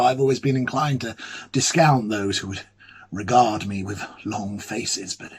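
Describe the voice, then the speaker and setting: Guy acting a fussy lonely sick professor, ghost story monologue, slow husky voice, halting and a bit theatrical. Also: fairly low pitch. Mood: worried. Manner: hesitant, musing, theatrical, with murmured asides and swelling emphasis. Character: ailing, lonely, fussy bachelor academic, anxiously curious diarist. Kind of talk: dramatic monologue, performed reading of a classic ghost story.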